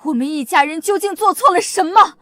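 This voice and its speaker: An earnest young wife. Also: female voice, very high voice, a little fast, a bit bright, no room sound, studio quality. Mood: pleading. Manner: earnest, pleading. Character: loyal young wife from a poor family. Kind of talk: short-drama acting.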